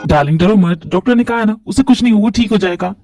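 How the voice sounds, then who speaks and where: A woman voicing a calm older man who reassures his family in soothing, measured words. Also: sits high, pitched below the performer's own voice. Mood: reassuring. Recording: distant from the mic, faint music behind.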